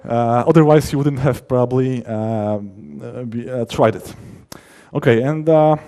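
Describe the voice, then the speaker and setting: A male conference speaker from his language and a relaxed, mellow timbre at an ordinary male pitch. He explains technical matters informally, leaning on key words for emphasis. His sentences often halt and restart as he rephrases. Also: measured pace. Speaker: conference speaker, software developer.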